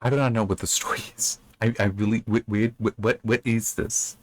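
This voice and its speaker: Male book commentator, lowish pitch, dry sound; casual, puzzled, meandering delivery with halting repeats. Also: fairly even intonation, no room sound. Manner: bemused, rambling, halting with repeated words. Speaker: book reviewer reading and commenting. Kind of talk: casual unscripted commentary on a story.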